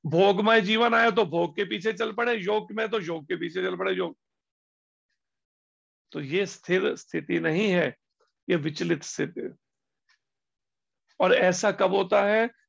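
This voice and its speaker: A man giving a devotional discourse as a spiritual teacher, slowing down on key words and asking rhetorical questions. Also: high voice, creaky. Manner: slow, deliberate emphasis with pauses on key words. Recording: dull lo-fi recording.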